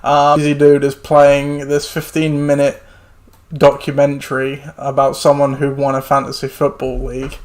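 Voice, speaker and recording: A man hosting a sports podcast in casual chat, rambling with many fillers and restarts, a little hoarse. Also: mid-range voice, completely flat delivery, moderate pace. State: hoarse, losing his voice. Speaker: podcast host.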